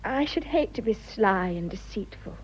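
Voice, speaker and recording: An actress playing an earnest, resolute, tender young wife with an English accent, speaking candidly in a radio drama. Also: female voice, mid-range voice, animated, moderate pace, husky. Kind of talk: romantic radio drama acting. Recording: muffled, noisy radio drama.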